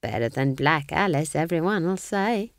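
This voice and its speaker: A woman voicing a sly, cheerful elderly witch who answers with feigned innocence and a drawn-out, teasing delivery. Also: low-pitched, expressive, dry recording, clean studio sound.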